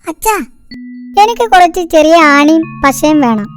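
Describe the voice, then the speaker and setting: A woman's voice: extremely high pitch, very animated, a little slow, full character voice. A woman voicing an eager, polite young schoolboy inventor in a cartoon. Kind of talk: cartoon dialogue. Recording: music behind the voice.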